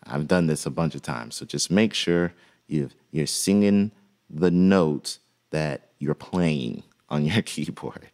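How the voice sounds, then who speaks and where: A man with a deep voice teaching music production in a relaxed, folksy way, with many "uh" fillers. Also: slow. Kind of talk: casual software tutorial.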